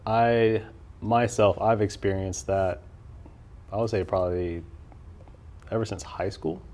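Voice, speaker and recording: A young adult man hosting a casual interview, laid-back and quick with murmured backchannels. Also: fairly low pitch, steady tone, a little mellow. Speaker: podcast host.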